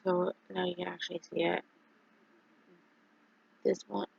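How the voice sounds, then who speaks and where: Streamer, casual chat; heavy vocal fry, breathy edge, dull lo-fi recording, rambling delivery. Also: medium pitch, flat delivery, a little mellow, a little hiss or hum. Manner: rambling, halting, many fillers and restarts.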